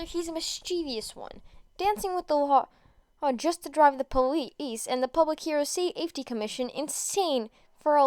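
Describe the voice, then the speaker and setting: A woman reading fan fiction aloud in an amateur, expressive way, often stumbling and going back over phrases. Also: sits high, dry recording. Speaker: podfic reader. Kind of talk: casual introduction and expressive amateur story reading.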